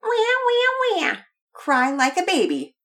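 A woman's voice: extremely high pitch, huge pitch swings, slow, a bit bright, pitched above the performer's own voice, heavily acted. A woman voicing a haughty, mocking young boy who snaps back condescendingly in a children's story. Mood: mocking, defensive.

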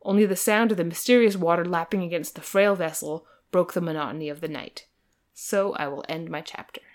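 A woman's voice: on the low side, fairly quick. A woman co-hosting a podcast, reading an old novel aloud as an amused, dramatic narrator.

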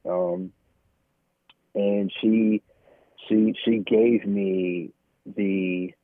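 An American man speaking candidly and informally as an interview guest, halting often and peppering his talk with "man" and "you know". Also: lowish voice, fairly even intonation, no room sound. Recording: thin phone-like line, dry.

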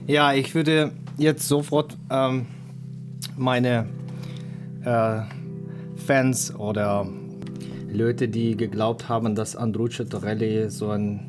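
A man's voice: medium pitch, steady tone, slow, pauses often, over music. A man from Ukraine, a guest on a radio show, telling about his small label in a hesitant way with many filler sounds. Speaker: guest, indie label owner. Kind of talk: casual radio interview. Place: radio studio.

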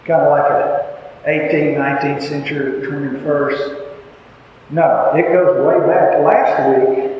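An older man with a Texas Panhandle accent preaching a sermon in a folksy, joking way, recorded in a church. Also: on the high side. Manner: folksy, joking, drawling delivery. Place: church sanctuary. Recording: echoey hall, muffled, faint background hiss.

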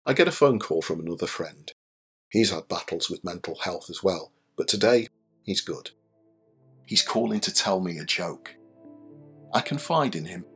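A man's voice: sits low, lively intonation, normal speed, pauses often, slightly rough, faint music behind, full character voice. An actor playing a young, self-deprecating bar worker, telling his story in a conversational, wry, confiding radio drama monologue. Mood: candid.

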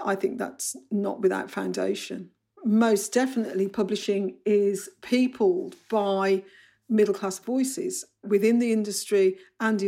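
Gravelly Brummie woman, frank and wry. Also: medium pitch, warm tone. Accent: Birmingham. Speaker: publishing professional who gives talks. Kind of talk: interview.

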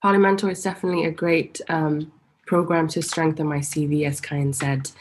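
A woman's voice: low-pitched, level tone, a little fast, slight background noise. A young woman from Hong Kong, a politics student, speaking hesitantly with some stumbles. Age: young adult. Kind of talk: casual discussion.

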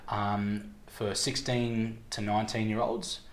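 A young Australian man speaks in a fairly low voice as an interview guest. He tells his story casually and reflectively, often pausing to find words. The room is echoey, with faint background noise. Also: level tone. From Victoria, Australia. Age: 20s.

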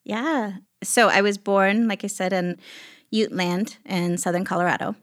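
A woman from Alaska speaking reflectively as an interview guest, in deliberate, measured phrases. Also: medium pitch, steady tone. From Alaska, United States. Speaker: podcast guest, executive director of an arts venue.

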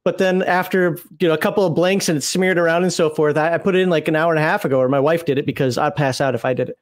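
A man with a slightly raised pitch chats loosely on a live stream. He rambles, fills gaps with "uh" and "you know," and laughs often, his voice a bit worn from days of talking. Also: fairly even intonation, rapid-fire. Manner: rambling, laughing, many fillers. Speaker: streamer co-host. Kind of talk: casual live-stream chat.

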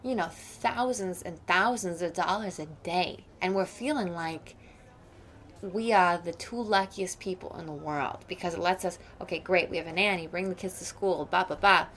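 A woman's voice: on the low side, moderate pace, a bit bright, a little hiss or hum. A woman playing a snobbish, judgmental wealthy school mother in an improvised radio play, rambling on with boastful opinions. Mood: smug, boastful.

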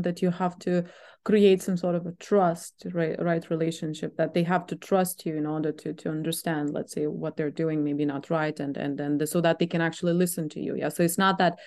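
A woman's voice: lowish voice, fairly even intonation, a little fast, darkish tone. A woman interviewing a guest, restating his points thoughtfully and asking follow-up questions. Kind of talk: interview conversation.

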